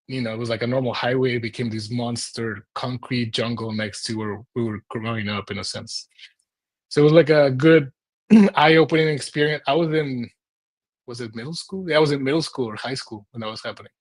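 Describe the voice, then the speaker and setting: A man's voice: medium pitch, fairly quick. A man in his thirties from Mexico who grew up in Houston, speaking as an interview guest and telling personal stories in an informal, rambling way with rhetorical questions. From Mexico, moved to Houston as a child. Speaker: urban planner, interview guest. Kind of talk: conversational personal storytelling in an interview.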